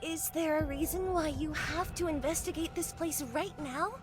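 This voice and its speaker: A woman's voice: sits high, normal speed, natural voice. An actress playing a timid, sweet young girl in a video game, speaking in a hesitant, meek way. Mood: nervous, hesitant. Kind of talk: scripted dramatic game acting. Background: music.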